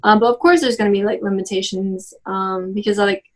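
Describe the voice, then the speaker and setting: A woman's voice: medium pitch, steady tone, fairly quick. A young woman in her twenties from Texas, answering oral history interview questions casually with many "like" and "um" fillers and restarts. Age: 20s.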